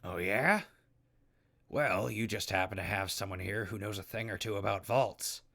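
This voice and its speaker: A man's voice: lowish voice, moderate pace, vocal fry, pushed and bright, full character voice. A man playing a chatty, wry wasteland radio host in an audio drama, casual and easygoing, prone to asides. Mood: casual, persuasive. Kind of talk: radio drama acting with mock sponsor reads.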